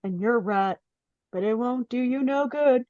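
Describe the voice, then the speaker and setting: Lady doing meek pleading debtor guy, high slow voice, dull lo-fi call audio. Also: dry recording, natural voice. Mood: pleading, anxious. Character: Mister Applegate, meek pleading poor debtor man. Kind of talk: live dramatic script reading. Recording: dull, dry video call track.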